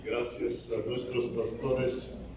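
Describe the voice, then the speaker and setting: A man preaches a sermon in a church, his words slow, deliberate and a little halting, as if still finding his way in the language. His voice comes from some distance away and rings through a very echoey room, with faint ambient noise underneath. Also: medium pitch, flat delivery. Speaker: evangelist preacher.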